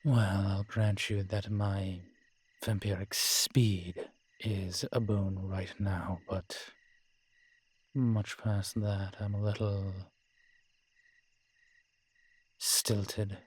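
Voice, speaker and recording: A man playing a world-weary, morose male vampire in a roleplay audio drama, speaking in a measured, formal, brooding manner with sighs and pauses. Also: lowish voice, flat delivery, a little mellow, breathy edge. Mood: matter-of-fact, wry. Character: weary old male vampire, morose realist, formerly cruel.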